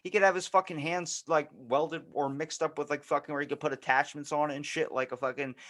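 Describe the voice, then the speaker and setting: A man's voice: fairly high pitch, fairly even intonation, fairly quick, fairly bright tone. A man hosting a podcast in casual chat, rambling informally through story ideas with heavy profanity. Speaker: podcast host.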